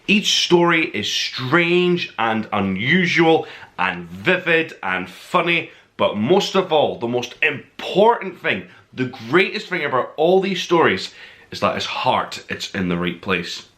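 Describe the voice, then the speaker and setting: A man with a bright, forceful voice at a medium pitch, hosting a book review show. He talks informally and with gushing enthusiasm, putting heavy stress on the words that matter to him. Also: very animated. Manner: gushing, emphatic, slows down to stress key words. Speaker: book review podcast host.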